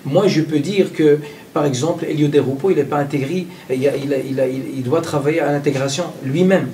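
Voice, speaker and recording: Halting man in an archive interview clip. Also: on the high side, mellow, distant mic, a little hiss or hum. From Belgium. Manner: halting, many fillers and repeated words. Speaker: interviewee, group spokesman.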